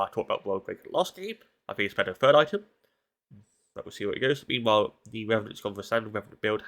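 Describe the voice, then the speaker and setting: A man's voice: medium pitch, fairly bright tone. A man with a blocked nose from hay fever, giving casual, analytical solo commentary on a recorded esports match. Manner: casual, analytical, thinking aloud.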